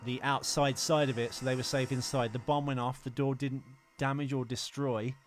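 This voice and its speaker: A man's voice: medium pitch, level tone, moderate pace, faint music behind. A man co-hosting a podcast, casually discussing films. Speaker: podcast co-host.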